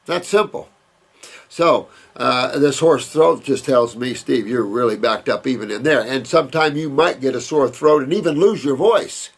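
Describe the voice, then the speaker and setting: Older male naturopath, gravelly and slightly husky, rambling and emphatic Q&A delivery. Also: on the high side. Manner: rambling, emphatic, repeats words and restarts. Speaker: naturopath answering viewer questions. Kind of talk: casual Q&A talk, reading and answering letters.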